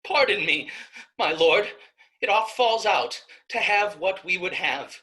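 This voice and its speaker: Slow, intense devout young woman played by a man. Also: high voice, lively intonation, sharp tone, exaggerated voice. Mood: impassioned. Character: devout, steadfast young woman pleading for her condemned brother. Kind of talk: Shakespearean staged reading.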